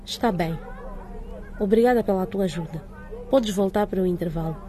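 A woman's voice: medium pitch, brisk, warm tone, some chatter behind, natural voice. A woman playing a firm, inquisitive middle-aged school director in a radio drama, authoritative and probing. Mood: stern, courteous.

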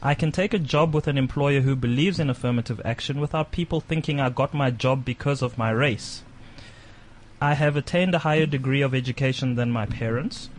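A man's voice: medium pitch, a little hiss or hum. A man hosting a radio talk show, reading a checklist aloud and bantering with co-hosts. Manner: brisk, reads items aloud then banters.

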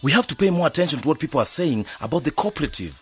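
An actor playing a wry, cautious, worldly-wise villager in a radio drama. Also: male voice, on the high side, very animated, rapid-fire, husky, exaggerated voice. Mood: cautioning.

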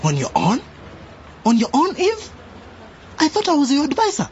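A man's voice: very high-pitched, huge pitch swings, moderate pace, heavily acted. A wounded father swings his voice wildly up and down in indignation. The radio drama recording is dull and lo-fi, with faint noise. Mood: indignant. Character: hurt, indignant father and advisor.

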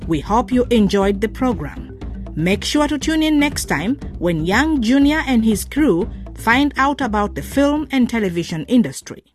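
Female announcer, fairly high voice, scripted sign-off, soft guitar backing. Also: big pitch swings, moderate pace. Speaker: programme announcer. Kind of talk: scripted radio outro. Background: guitar music.

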